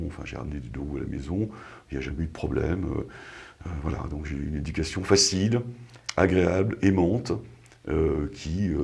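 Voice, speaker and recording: A man in his sixties with a cold and a very deep, husky voice, plainly telling his story as an interview guest with many hesitations. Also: darkish tone. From France. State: has a cold. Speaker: motorcycle business owner, interview guest. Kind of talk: casual interview talk.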